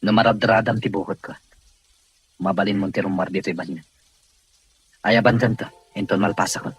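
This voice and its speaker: Husky, grumbling actor as a sulky wealthy man. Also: lowish voice, fairly even intonation, brisk, low-quality recording. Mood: irritated. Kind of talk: Ilocano radio drama acting.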